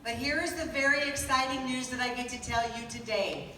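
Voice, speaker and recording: A woman speaks upbeat and persuasive from a convention stage into a handheld mic. Her tone is bright and a bit high. The recording comes from far back in the room and is full of hall echo with a faint hiss. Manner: upbeat, persuasive, with pauses for a live interpreter. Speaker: corporate presenter. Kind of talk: stage presentation to a sales audience. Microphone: handheld mic, recorded from far in the audience. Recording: echoey hall taped from far off.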